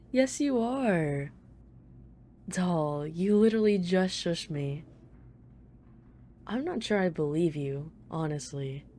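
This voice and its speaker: Woman playing a low, mellow, teasing female mafia boss. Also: slight background noise. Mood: amused, playful. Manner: playful, smug, flirtatious banter. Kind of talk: ASMR roleplay, one-sided dialogue.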